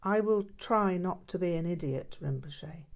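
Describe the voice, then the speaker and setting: Woman reading as an earnest, slow-talking disciple. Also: on the low side, expressive, gravelly. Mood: humble. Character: earnest, deferential Western male disciple. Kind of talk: audiobook character dialogue.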